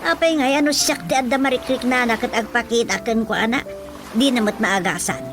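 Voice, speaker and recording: A middle-aged Ilocano village mother played by a woman in a radio drama, talking in a fairly high voice. She is superstitious and tells her tales expressively and conversationally. Music plays clearly underneath. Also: fairly quick, lightly acted. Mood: earnest storytelling. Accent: Filipino, Ilocano. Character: chatty superstitious village mother who believes in folk healing.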